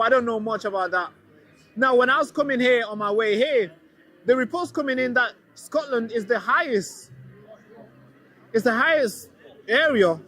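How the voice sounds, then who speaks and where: A man's voice: very high voice, fairly bright tone. A man from Manchester giving earnest, rambling answers in a street interview at a protest.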